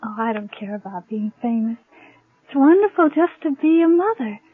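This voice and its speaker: An actress playing a young woman lieutenant and new mother on a space station in a 1950s radio drama, sharp and loving. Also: on the high side, lively intonation, moderate pace, breathy edge, no echo. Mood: happy, teasing. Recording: 1950s radio, narrow tinny band.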